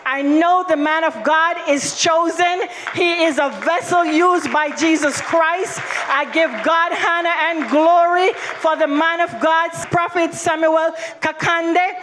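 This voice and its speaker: A woman's voice: very high-pitched, fairly even intonation, slow, hardly any pauses, fairly bright tone, a little hiss or hum. A Jamaican woman giving a fervent church healing testimony, praising and thanking God over and over. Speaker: testimony giver.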